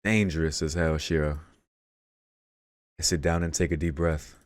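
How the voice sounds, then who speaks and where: A man's voice: very deep voice, flat delivery, normal speed, no echo. A man hosting a let's read, reading visual novel narration aloud and dropping in casual asides. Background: game music.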